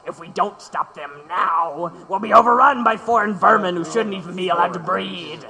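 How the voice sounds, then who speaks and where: An actor playing a cranky, contemptuous Gilded Age oil tycoon in an audio drama, with a gravelly, impatient, blustering delivery. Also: male voice, high-pitched, normal speed, crowd noise, poor audio. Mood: ruthless, scornful.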